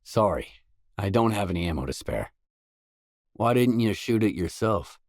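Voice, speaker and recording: A man's voice: lowish voice, lively intonation, moderate pace, darkish tone, no echo, studio quality, natural voice. An actor playing a wary, pragmatic male loner stalker in a video game, speaking in a terse, blunt, matter-of-fact way. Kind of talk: game dialogue voice lines.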